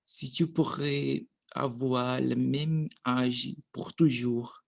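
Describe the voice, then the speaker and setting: A man's voice: medium pitch, steady tone, drawn-out words, gravelly, no room sound. A man in his thirties from Brazil, speaking a learned language with a Brazilian Portuguese accent, hesitant and restarting often as he practices. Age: 30s. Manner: hesitant, searching for words, frequent restarts. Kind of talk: casual practice monologue.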